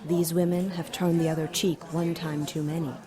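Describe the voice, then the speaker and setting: A cold, amused demon queen, voiced by a woman who talks down to humans in a lowish, unhurried voice. She mocks slowly and sounds sure of her power. A murmur of crowd noise runs behind her in this audio drama. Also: little pitch movement, lightly acted. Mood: mocking. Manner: haughty, slow and mocking.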